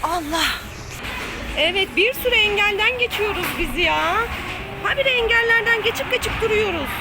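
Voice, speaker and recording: A woman's voice: very high-pitched, expressive, moderate pace. A woman giving playful, exclamatory running commentary to a child, coaxing and encouraging while she moves around a play area. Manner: physically active while talking. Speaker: family vlog host playing along with a child. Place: play park obstacle course. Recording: noisy play area ambience.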